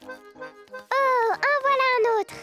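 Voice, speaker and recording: A performer voicing a cheerful, eager young piglet in a children's cartoon, with excitable childlike exclamations. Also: very high voice, extremely slow, loud music behind the voice, full character voice. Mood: delighted.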